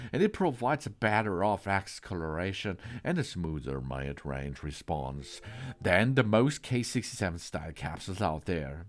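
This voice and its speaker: A man presenting a product walkthrough, partly reading out specifications, with halting phrasing and occasional stumbles. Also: fairly low pitch. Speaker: product reviewer. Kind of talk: product walkthrough, partly read from spec sheet.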